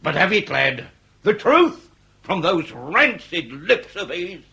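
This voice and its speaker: An actor playing a middle-aged Victorian police inspector, pompous and grandiloquent, in a period radio comedy drama. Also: male voice, sits high, very animated, vocal fry, pushed and bright, breathy edge, full character voice. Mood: scornful, commanding. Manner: grandiloquent, deliberate, commanding.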